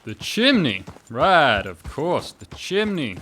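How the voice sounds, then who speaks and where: An actor playing a wry railway worker who teases a bragging companion with deadpan, mock-polite questions in a radio drama. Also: male voice, fairly high pitch, slow, exaggerated voice. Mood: teasing.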